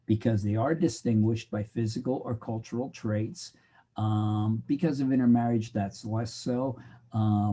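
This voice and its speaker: A man's voice: on the low side, dark tone, slightly rough. An older American man giving a recorded sociology lecture, talking in a loose, conversational way with digressions, and acting out the exchanges he quotes. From United States. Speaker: sociology instructor.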